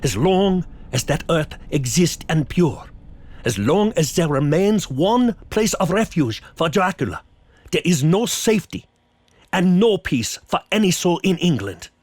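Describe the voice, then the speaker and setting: An actor playing a commanding, urgent professor who hunts vampires, grave and emphatic, in a horror audio drama. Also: male voice, on the high side, big pitch swings, a little slow, a little mellow, dry recording.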